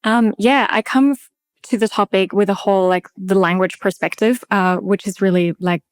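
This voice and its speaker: Austrian lady chatting away, lots of likes and ums, smooth clear voice, dry close sound. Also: mid-range voice, normal speed, dry recording. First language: German. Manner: chatty, many fillers, lively quoting. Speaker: podcast guest. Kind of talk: casual interview chat.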